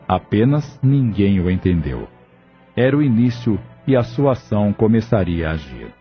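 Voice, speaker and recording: Low-voiced solemn male narrator. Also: dull audio. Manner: measured, solemn storytelling. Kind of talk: scripted audiobook narration.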